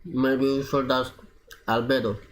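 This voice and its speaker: A man streaming a video game with casual, halting, rambling commentary. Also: mid-range voice, flat delivery. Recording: faint room noise and clicking.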